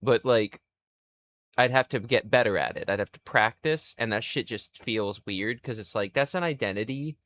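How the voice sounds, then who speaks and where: A man in his twenties streaming live, rambling in a crude, self-mocking way and thinking out loud. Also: mid-range voice, normal speed, no echo. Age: 20s. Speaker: livestreamer. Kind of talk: casual livestream chat.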